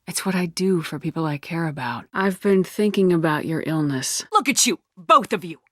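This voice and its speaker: A woman's voice: fairly low pitch, expressive, moderate pace, a bit bright, no echo, studio quality. A woman voicing a tough, commanding female space soldier in a video game, with a firm, blunt, controlled delivery. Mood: earnest, quieter.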